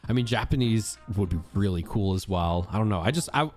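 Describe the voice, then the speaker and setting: A man's voice: lowish voice, expressive, a little fast, soft background music. An American man hosting a podcast interview, easygoing and curious, with plenty of fillers as he asks questions. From United States. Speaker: podcast host. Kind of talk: casual interview.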